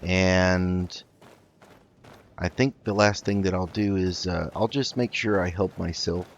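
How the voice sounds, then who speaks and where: A man doing casual game commentary, thinking aloud in an unhurried, musing way as he roleplays. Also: sits low, fairly even intonation, dull audio, slight background noise. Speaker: gaming host.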